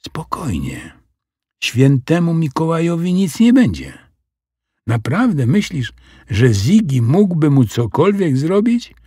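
Man putting on a high-pitched, chiding little schoolgirl. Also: wildly swooping pitch, darkish tone, slightly rough, no echo, studio quality, full character voice. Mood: reassuring. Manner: emphatic, drawn-out questions. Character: young schoolgirl, sensible, easily annoyed, chiding.

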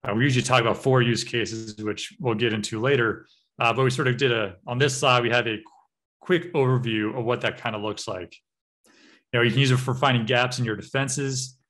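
An American man in his thirties giving an online cybersecurity workshop over slides, speaking in a relaxed way with frequent uh and um fillers. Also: medium pitch, brisk. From United States. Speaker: cybersecurity trainer.

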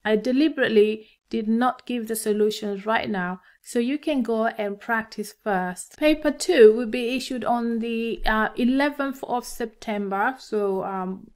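A woman's voice: fairly high pitch, unhurried, few pauses. A middle-aged woman from Tanzania with a Tanzanian accent, talking to students as an encouraging maths teacher. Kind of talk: instructional talk to camera for students.

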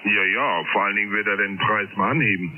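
A man's voice: lowish voice, quick pace. A man talking casually as an amateur radio operator over a shortwave link. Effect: shortwave radio transmission. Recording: narrow phone-like shortwave radio, faint noise.

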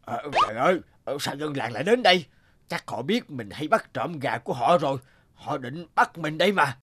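A man's voice: fairly high pitch, big pitch swings, moderate pace, background noise. A man voicing a greedy, smug, selfish fox who gloats and exclaims in a cartoon fable. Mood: alarmed.

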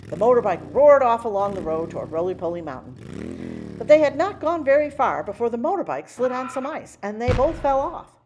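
A woman reading a children's picture book aloud in an animated storytelling style. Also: a little high, moderate pace, creaky, slight background noise. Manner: animated, acting out dialogue. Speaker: storybook reader.

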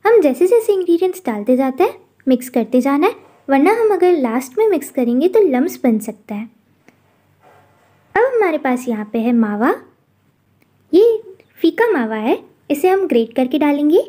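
A woman hosting a cooking channel, calmly narrating a recipe step by step in a voice-over. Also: high voice, normal speed, long pauses. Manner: calm instructional narration.

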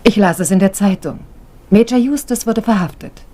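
A woman's voice: medium pitch, normal speed, dark tone, natural voice. A woman playing a composed, guarded young woman in a radio crime drama.

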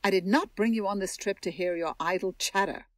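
An actress playing a stern, imperious, disapproving older aunt in an audio drama. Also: female voice, medium pitch, fairly quick, no room sound, natural voice.

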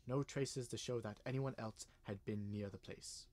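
Solemn gentleman, acted by a man. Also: lowish voice, steady tone, normal speed, close to an everyday voice. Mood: grave. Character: grave gentleman recounting a family legend to a detective. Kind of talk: radio drama acting.

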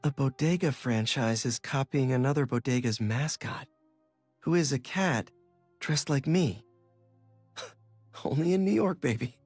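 An actor playing a friendly young adult New York superhero with an American accent, making wry, conversational remarks in a video game. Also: male voice, medium pitch, a little slow, pauses often, darkish tone, slightly rough, faint music behind. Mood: amused. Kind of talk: game voice acting, casual reflection.

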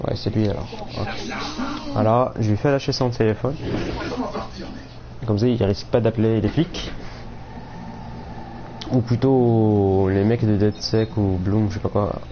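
A man's voice: lowish voice, fairly even intonation, measured pace, long pauses, over music. A young man with a cold, casually commenting on his game as he plays, rambling with many fillers. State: has a cold, voice a bit off. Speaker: gaming streamer. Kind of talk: casual let's play commentary. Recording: muffled mic with clear background noise.

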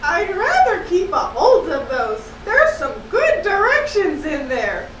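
An eager little puppet sailor, voiced by a woman, speaks emphatically at a very high pitch. The delivery is unhurried and swoops up and down. It sounds like a children's show in a roomy space. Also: sing-song, fairly bright tone, full character voice. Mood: excited. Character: eager, excitable young puppet sailor creature. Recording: roomy echo, slightly distant mic, faint noise.

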